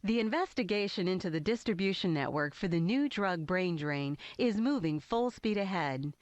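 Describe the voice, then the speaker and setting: A woman's voice: on the low side, dry recording, muffled recording, close to an everyday voice. Woman playing a dry-voiced TV news anchor. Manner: measured newsreader delivery.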